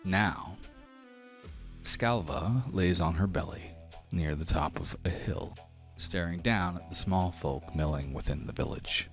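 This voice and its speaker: A man narrating a tabletop game as game master in a measured, theatrical storytelling manner. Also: deep voice, faint music behind. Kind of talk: dramatic scripted tabletop narration.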